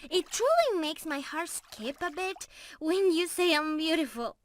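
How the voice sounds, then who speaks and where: A woman's voice: extremely high pitch, big pitch swings, a little slow, slight background noise, exaggerated voice. A woman playing an affectionate, playful young mermaid in love, talking tenderly and giggly to the listener in an ASMR roleplay. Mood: sweet, proud. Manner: sweet, giggly, tender, addressing the listener directly. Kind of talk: ASMR roleplay, scripted intimate acting.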